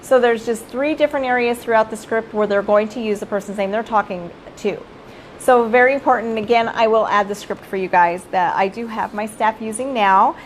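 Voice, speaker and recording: A middle-aged woman with a fairly high voice, hoarse from losing her voice, talks through business tips for child care owners. Her manner is chatty and informal, and she often ends points with a quick "right?" to her viewers. Faint background noise sits under her voice. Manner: chatty, addresses viewers as "you guys", often tags "right?". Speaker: child care center director and coach. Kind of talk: live-streamed professional advice talk.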